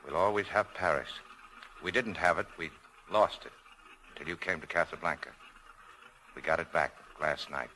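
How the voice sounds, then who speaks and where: A man's voice: deep voice, normal speed, pauses often, sharp tone, low-quality recording. A male actor playing a cynical but self-sacrificing American nightclub owner in a 1940s radio drama, with a clipped, tough, terse delivery. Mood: resolute, restrained.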